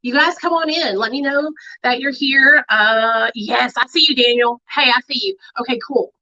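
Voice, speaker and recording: Bright-voiced chatty woman hosting a livestream. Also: high voice, moderate pace. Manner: chatty and excited, with filler words. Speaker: livestream host. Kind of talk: casual livestream chat. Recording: muffled lo-fi livestream audio.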